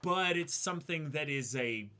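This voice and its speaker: A young man co-hosting a show, chatting casually and reading aloud with halting, thoughtful phrasing. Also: on the high side, expressive, pushed and bright. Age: young adult. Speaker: co-host of an unboxing show. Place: small indoor room.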